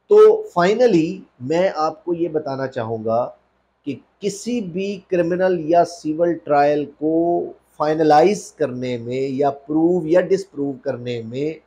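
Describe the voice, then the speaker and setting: A Pakistani man with a slightly high voice speaks as a lawyer teaching law. He explains point by point in a didactic manner, repeating phrases for emphasis. English legal terms are mixed throughout his speech. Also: dark tone. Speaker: lawyer giving a legal lecture.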